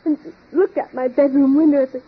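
An actress playing a timid, distressed young woman, halting and emotional, in an old-time radio drama. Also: high voice, steady tone, close to an everyday voice. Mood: tearful, frightened. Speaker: actor in a radio detective drama. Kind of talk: 1930s-40s American radio acting. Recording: muffled vintage radio recording.

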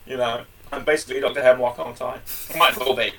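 A man's voice: mid-range voice, fairly even intonation, fast talker, bright, forceful tone, distant mic, poor audio. A man co-hosting a casual review podcast, chatty and jokey, often calling his co-host by name.

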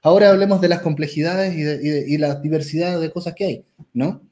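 A man's voice: on the high side, fairly even intonation, a little fast, mellow. A man co-hosting a casual discussion podcast, blunt and opinionated, often restarting his words. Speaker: podcast co-host.

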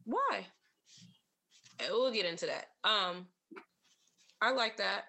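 A woman's voice: mid-range voice, takes it slowly, vocal fry, sharp tone. A woman co-hosting a podcast in casual chat, teasing and laughing easily, with many ums and a little tipsy. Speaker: podcast co-host.

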